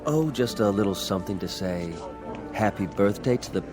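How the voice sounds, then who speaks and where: Guy doing a cocky flirty office joker, radio drama, really animated, music underneath. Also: fairly low pitch, normal speed, close to an everyday voice. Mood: flirtatious. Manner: brash, jokey, showy. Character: cocky, teasing, flirtatious male office braggart.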